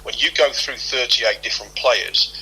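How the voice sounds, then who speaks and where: A man's voice: medium pitch, normal speed, bright, forceful tone, slightly rough, faint music behind, poor audio. A middle-aged man answering interview questions as a football club official, explaining at length and repeating himself as he goes. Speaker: football club head of operations, interview guest.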